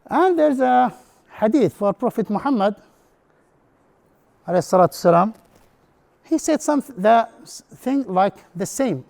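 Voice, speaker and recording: A man with a high-pitched voice gives a presentation in English, which is not his first language; his first language is Arabic. He talks as an instructor to an audience in a room and keeps putting questions to them. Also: lively intonation, a little slow, vocal fry, pauses often, a little mellow. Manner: non-native English, asks the audience questions. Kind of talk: prepared talk to an audience.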